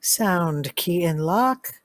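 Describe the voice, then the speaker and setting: A woman reading sound-effect cues aloud in a flat, unhurried way for a live radio drama. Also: lowish voice, no echo, clean studio sound. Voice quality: flat, slow announcing of cues. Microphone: video call.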